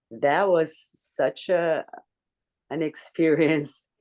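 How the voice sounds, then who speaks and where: A woman with a deep voice, earnestly telling a personal story to her book club over a video call. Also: a little mellow. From Brazil. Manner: earnest, candid, reflective storytelling. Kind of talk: personal story in a group discussion.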